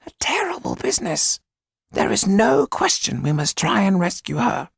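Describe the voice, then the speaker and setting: A man voicing a small, affectionate, excitable older man in an audiobook, with a high, husky, creaky voice and an emphatic, fussing manner. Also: moderate pace, no echo, clean studio sound, exaggerated voice. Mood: grave. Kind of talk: audiobook character acting.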